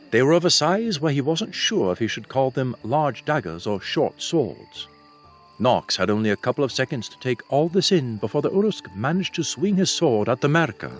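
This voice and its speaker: Animated male fantasy audiobook narrator. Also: mid-range voice. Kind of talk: scripted fantasy novel narration.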